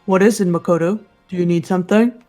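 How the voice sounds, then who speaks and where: A performer voicing a composed, formidable young female fighter in a fan game playthrough, slow, deliberate and declamatory. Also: medium pitch, steady tone, warm tone, soft background music, natural voice. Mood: calm inquiry. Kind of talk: amateur fully voiced game playthrough.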